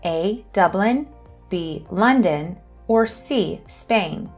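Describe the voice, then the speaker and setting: A woman hosting an English lesson, reading questions and answers slowly and carefully. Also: mid-range voice, expressive, darkish tone, faint music behind. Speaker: English teacher and channel host. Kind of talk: scripted lesson narration.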